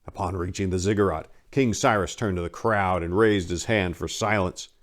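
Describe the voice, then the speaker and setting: A man reads a story aloud as its narrator. His voice sits on the low side, and he delivers the scripted prose as a dramatic reading. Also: normal speed. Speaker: author-narrator.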